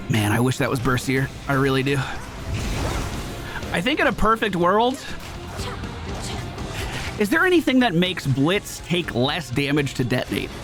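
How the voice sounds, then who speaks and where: Guy doing casual gaming commentary, super animated, kinda high voice, swears a bit, game music behind. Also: a little slow, pauses often. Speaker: gaming video host.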